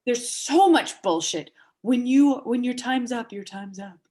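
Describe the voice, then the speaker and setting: A woman in a dramatic reading, playing a sharp, foul-mouthed, vulnerable woman with a halting, blunt delivery. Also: on the high side. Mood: angry, bitter. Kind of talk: dramatic reading of a stage play dialogue.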